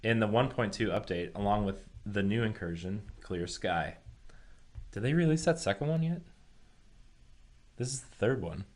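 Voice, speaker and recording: A man's voice: fairly low pitch, expressive, moderate pace, pauses often, a little mellow. A man co-hosting a podcast, chatting casually and with slangy opinions about entertainment news. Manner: slangy, opinionated, says "dude". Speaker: podcast co-host. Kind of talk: casual chat about movie and game news.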